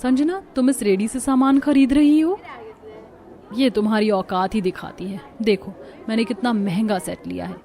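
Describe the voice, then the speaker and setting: A woman's voice: on the high side, normal speed, dark tone, some chatter behind, natural voice. A woman voicing a haughty, boastful rich young wife in a moral cartoon story. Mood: scornful, boastful. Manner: scornful, boastful. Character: haughty rich young wife who taunts her poor friend.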